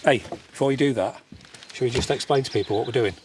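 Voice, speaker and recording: Male vlog host, mid-pitched, casual and teasing, recorded outdoors with clear background noise. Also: quick pace. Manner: joking, teasing banter. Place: outdoors on a rural island.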